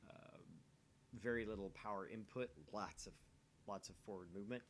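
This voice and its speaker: A man's voice: on the low side, steady tone, moderate pace, slight background noise. A man hosting a let's play, reading visual novel narration aloud and chatting casually in a self-deprecating way.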